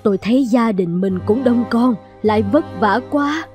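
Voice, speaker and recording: A woman's voice: on the high side, moderate pace, a little mellow, faint music behind, natural voice. A woman voicing a humble, troubled middle-aged servant mother in a cartoon fairy tale, earnest and pleading. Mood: earnest persuading.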